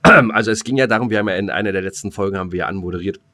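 A man's voice: on the low side, very fast, sharp tone. A man co-hosting a casual podcast, joking in slangy speech, rambling and often interrupting himself. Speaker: podcast co-host.

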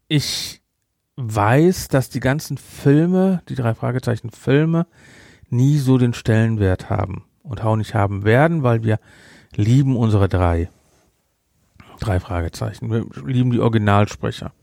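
A male podcast host chats through a review in a relaxed, dark voice with plenty of fry. He speaks bluntly and haltingly, and the sound is dry and close. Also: mid-range voice, no echo. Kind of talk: casual review chat.

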